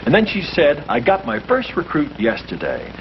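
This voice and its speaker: A man's voice: a little high, moderate pace, creaky, dull audio, very noisy. Earnest preacherly man on an old film soundtrack. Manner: earnest, preacherly, lively emphasis. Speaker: priest leading a discussion. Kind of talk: earnest scripted speech in a 1951 short film.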